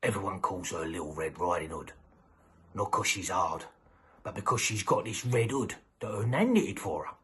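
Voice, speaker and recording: A man's voice: low-pitched, moderate pace, breathy edge. A man telling a comic bedtime story in a cheeky, slangy way, often half-whispering. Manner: cheeky, slangy, deadpan asides.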